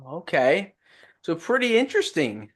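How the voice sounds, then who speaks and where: A man hosting a podcast, warmly upbeat and enthusiastic as he introduces and questions his guest. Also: sits high, wildly swooping pitch, unhurried. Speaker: podcast host.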